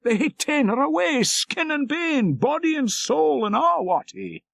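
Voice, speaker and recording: A man voicing an excitable, gossipy old Scottish shepherd's wife in an audiobook story, with urgent exclamations. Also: very high-pitched, a little slow, gravelly, dry recording, voice pushed up, exaggerated voice. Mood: breathless excitement.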